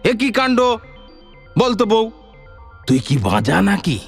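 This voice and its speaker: Man playing an irritable, high-pitched ascetic sage. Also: huge pitch swings, takes it slowly, gravelly, husky, soft background music, exaggerated voice. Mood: angry, accusing. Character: irritable male ascetic sage of legend, scolding.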